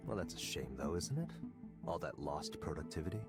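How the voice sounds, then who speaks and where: Game role: controlling company boss. Very deep voice, lively intonation, measured, smugly condescending. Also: male voice, exaggerated voice. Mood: probing, self-assured. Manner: measured, smug, probing. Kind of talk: scripted video game dialogue. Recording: loud background music.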